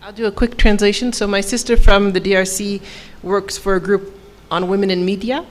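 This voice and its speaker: A woman giving a live consecutive translation at a panel, summarizing in a measured way. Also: mid-range voice, fairly even intonation. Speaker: interpreter.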